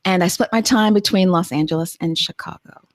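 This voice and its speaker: A woman's voice: fairly low pitch, a little fast, no room sound. A woman, a guest in an interview, telling her story with enthusiasm. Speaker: guest, journalist and business consultant. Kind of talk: conversational interview answers.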